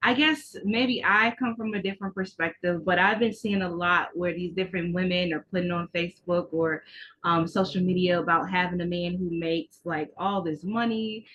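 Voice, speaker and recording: Female podcast host from the US, lowish voice, casual conversational questioning, slightly muffled lo-fi line. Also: steady tone, normal speed, a bit bright. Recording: dull, band-limited call-like audio.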